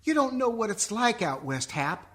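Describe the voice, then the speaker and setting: A woman's voice: a little high, very animated, normal speed. A woman playing an earnest, defiant grown son who wants to be a country singer, acted melodramatically for a comic radio play. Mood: melodramatic.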